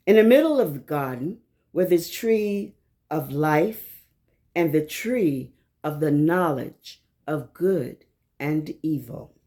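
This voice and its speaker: A woman reading scripture aloud from a text in a measured, deliberate manner, pausing between short phrases. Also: medium pitch, darkish tone. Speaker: scripture reader.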